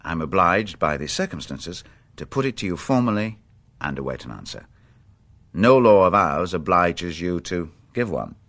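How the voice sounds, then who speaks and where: A shrewd police inspector in a radio play, with a low voice that moves freely up and down. He is dogged yet deferential, choosing understated, guarded words. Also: sing-song, moderate pace, a bit bright, full character voice. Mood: respectful, insistent. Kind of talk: radio drama acting.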